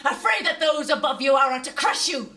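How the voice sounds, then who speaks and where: Shrill, high-pitched domineering wife. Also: female voice, animated, fairly quick, bright, forceful tone, full character voice. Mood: accusing. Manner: accusatory, melodramatic. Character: shrill domineering upper-crust wife, melodramatically protective mother. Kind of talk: live sci-fi radio comedy acting. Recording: slightly distant stage pickup, music behind.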